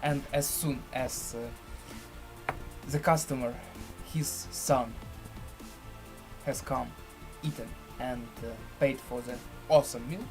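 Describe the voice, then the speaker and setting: A man presenting a screen-share software tutorial in halting, deliberate phrases with formal, elaborate wording. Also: mid-range voice, steady tone, music in the background.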